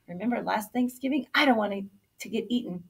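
A woman voicing a nervous, excitable storybook turkey who exclaims in alarm. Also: a little high, fast, gravelly, breathy edge. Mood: worried. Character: nervous, excitable turkey afraid of being eaten.

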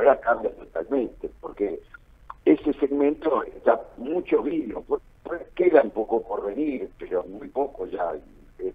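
A man's voice: on the low side, husky. A man with an Argentine accent giving political analysis in a radio interview, repeating phrases for emphasis. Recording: narrow phone-like line, muffled.

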